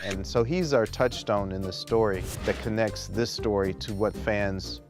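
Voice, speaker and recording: Low-voiced man explaining a film. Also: a little slow. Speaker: filmmaker interviewed in featurette. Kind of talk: interview commentary on a film. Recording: music behind.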